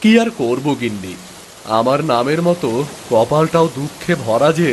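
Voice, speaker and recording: A man playing a poor, gentle, weary village father in a cartoon, speaking in a plaintive, homely way. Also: medium pitch, lively intonation, moderate pace, a little hiss or hum, natural voice. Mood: sorrowful. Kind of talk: animated cartoon voice acting.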